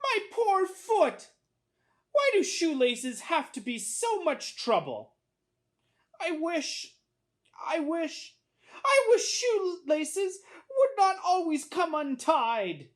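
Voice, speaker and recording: Exasperated storybook schoolboy voiced by a woman, very high, dramatic, swinging wildly in pitch. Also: big pitch swings, slow, heavily acted. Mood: plaintive, wishing. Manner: plaintive, exclaiming, dramatic. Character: exasperated little boy plagued by untied shoelaces.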